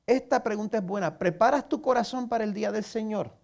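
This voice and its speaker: A man's voice: sits high, quick pace, creaky, darkish tone. Male pastor, Puerto Rican accent, earnest sermon delivery, direct rhetorical questions, a touch of self-mocking humor. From Puerto Rico.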